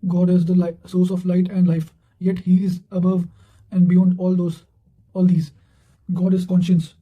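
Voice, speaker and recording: A man's voice: high-pitched, monotone, unhurried, narrow, tinny audio. A man reading quotations and study notes aloud in a formal manner, occasionally stumbling over words.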